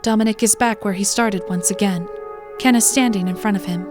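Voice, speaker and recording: A woman's voice: medium pitch, steady tone, fairly quick, close to an everyday voice. A woman narrating a scripted fantasy drama as its storyteller. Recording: music behind the voice.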